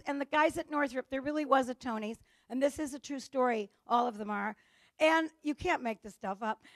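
A woman with a Chicago accent giving a humorous conference talk on gender differences, telling stories and acting out dialogue with lively delivery. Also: sits high, no echo. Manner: lively storytelling with acted-out dialogue. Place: conference stage before an audience.

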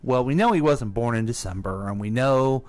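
A man's voice: medium pitch, animated, moderate pace, a little mellow. An American man co-hosting a casual radio discussion in a conversational way. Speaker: radio co-host.

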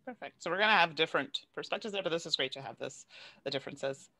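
Young woman, panel host, chatty, laughs easily, bright voice, lively pitch, dry close sound, video call. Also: fast talker, no echo. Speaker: panel host, content creator. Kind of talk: hosting an online panel.

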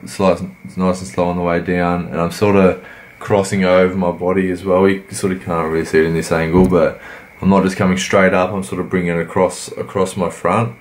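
A man, croaky from a cold, giving laid-back, rambling voice-over commentary on his workout. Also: sits low, level tone, brisk, hardly any pauses. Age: 20s. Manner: laid-back, rambling, many fillers and restarts. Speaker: fitness vlogger.

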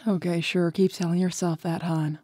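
A woman's voice: lowish voice, little pitch movement, moderate pace, noisy background, close to an everyday voice. Sultry, teasing bat lady played by a woman. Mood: smug, flirty. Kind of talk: comic dub voice acting. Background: music.

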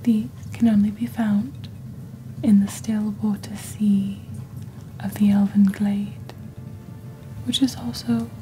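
A woman softly presenting products in a hushed, breathy, gentle and deliberate ASMR style, like a scripted fantasy shopping channel. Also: medium pitch, level tone, soft background music, rough recording. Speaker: host of a witch-themed shopping channel roleplay.

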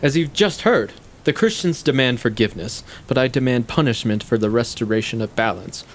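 An actor playing a shrewd, needling intellectual in a stage play, speaking deliberately in formal, articulate, condescending sentences. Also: male voice, medium pitch, a little hiss or hum, close to an everyday voice. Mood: assured, pressing. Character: shrewd, probing male intellectual, coolly needling his guest. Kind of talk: stage play acting, long monologues.

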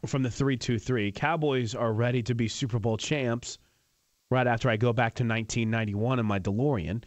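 A man's voice: medium pitch, fairly even intonation, a little fast, no room sound. A man in his thirties hosting sports talk radio, giving emphatic, opinionated takes in conversational banter. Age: 30s.

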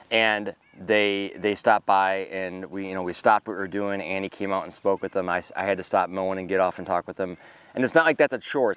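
A man's voice: deep voice, a bit bright, clean tone, no room sound. A man in his forties hosting a homesteading channel, speaking earnestly and gratefully to the camera outdoors, thinking aloud with frequent ums and uhs. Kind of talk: casual devotional talk to camera. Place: outdoors in a park-like yard with trees. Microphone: camera close up at arm's length.

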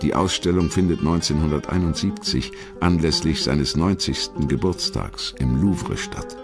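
A man with an extremely low voice narrates a documentary from a script. His delivery is measured and formal, like a storyteller presenting history, with music playing faintly behind him. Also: animated, gravelly. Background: background music.

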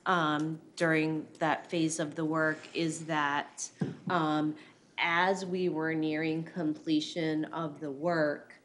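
A woman advising a board at a public meeting, explaining in a measured, hesitant way. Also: low-pitched, level tone, a bit bright. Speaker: school official advising the board. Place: public meeting room, indoors. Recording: noisy meeting room recording.

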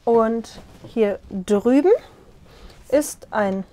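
Young woman, slightly high voice, casual tour-guide chat, lapel mic in a small caravan. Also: drawn-out words, dark tone, faint music behind. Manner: friendly, explaining as she walks and points. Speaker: vlogger giving a tour.

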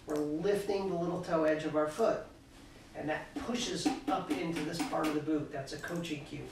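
A middle-aged man talks as a ski coach, walking through foot and boot mechanics with steady cues. His voice sits a little high with some gravel in it, and it bounces around a hard, roomy workshop. He sounds well off the mic, with a faint hum of room noise under him. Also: moderate pace. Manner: explains calmly with coaching cues while demonstrating. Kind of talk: instructional demonstration. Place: cluttered indoor ski workshop. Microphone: far camera. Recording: echoey room, mic far off.

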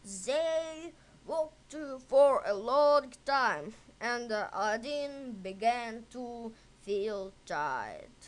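A woman reading a fairy tale expressively and deliberately for children and English learners. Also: fairly high pitch, big pitch swings. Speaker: storyteller, English teacher.

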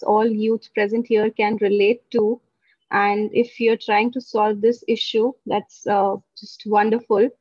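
A woman in her thirties with a fairly high voice moderates an online summit, making polite, formal requests. The video call audio is dry and dull. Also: no room sound. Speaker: session moderator. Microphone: video call, laptop camera.

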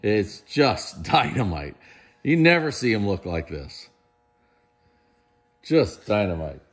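A man's voice: lowish voice, sing-song, takes it slowly. Chatty man showing auction glassware. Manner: enthusiastic, chatty, praises pieces. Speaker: auctioneer presenting lots. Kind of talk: casual walkthrough of auction items. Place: large indoor warehouse room.

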